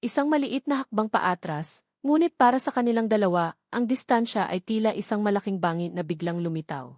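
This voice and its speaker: A woman's voice: mid-range voice, animated, a little fast, darkish tone, no echo, clean studio sound. A woman with a Filipino accent reading a scripted, dramatic love story as its narrator. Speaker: narrator of audio love stories.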